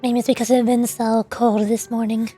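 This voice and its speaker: A woman's voice: fairly high pitch, level tone, normal speed, warm tone, husky, faint music behind. A woman livestreamer chatting casually in a playful, sleepy manner. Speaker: VTuber streamer voice-acting game dialogue.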